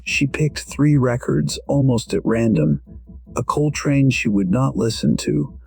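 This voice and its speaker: A man narrates a first-person audio story at a very slow, measured pace. His voice is husky and reflective, in a medium register. Gentle music plays faintly underneath. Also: medium pitch.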